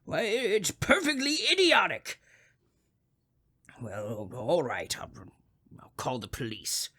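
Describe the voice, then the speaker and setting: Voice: medium pitch, huge pitch swings, slow, pushed and bright, slightly rough, heavily acted. An actor playing a crotchety, self-important old man in a 1940s-style suspense radio drama, fussy and indignant, stammering as he makes demands. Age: older.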